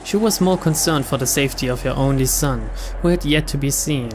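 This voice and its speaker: A man's voice: medium pitch, a little fast. A man giving a dramatic scripted narration of a visual novel as a let's play reader. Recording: clear background ambience noise.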